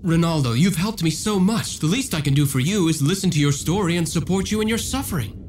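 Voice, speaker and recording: A man playing an earnest, determined heroic fighter in a video game cutscene, speaking with measured solemnity. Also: a little high, lively intonation, a little mellow, slight background noise, close to an everyday voice. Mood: earnest, compassionate. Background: background music.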